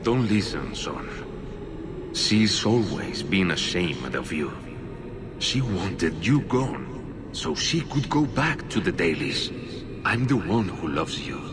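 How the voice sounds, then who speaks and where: Very deep, coaxing false father. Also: very animated, takes it slowly, music in the background, exaggerated voice. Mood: coaxing, accusing. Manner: coaxing, paternal, insidious. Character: demon posing as a loving, manipulative father. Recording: noisy game ambience.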